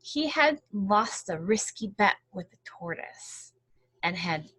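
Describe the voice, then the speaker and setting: A woman's voice: mid-range voice, lively intonation, slow, a bit bright, husky, dry recording. A woman reading a picture book aloud expressively, with casual explanatory asides and questions. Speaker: storybook reader.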